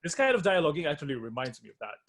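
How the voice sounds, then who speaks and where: A man's voice: on the high side, big pitch swings, rapid-fire, fairly bright tone. A man taking part in an online discussion among composers, speaking hesitantly with many fillers as he works out his thoughts. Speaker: composer, panel guest.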